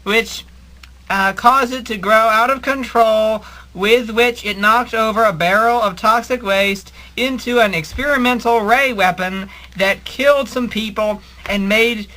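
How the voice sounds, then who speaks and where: A woman playing a guilty, self-interested accomplice in an amateur audio comedy drama, halting and anxious. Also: fairly high pitch, bright, forceful tone, low-quality recording. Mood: sheepish confessing.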